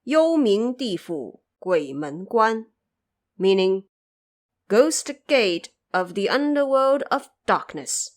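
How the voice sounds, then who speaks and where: A woman narrating a scripted audio drama of a classic fantasy tale. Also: medium pitch.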